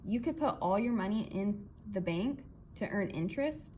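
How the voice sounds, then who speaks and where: A woman puts on an eager young man from a storybook, full of wonder. The pitch lifts above the plain narration as he questions excitedly, with some noise behind. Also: normal speed, lightly acted. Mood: eager, amazed. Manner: bright-eyed, excited questioning. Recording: clear background noise.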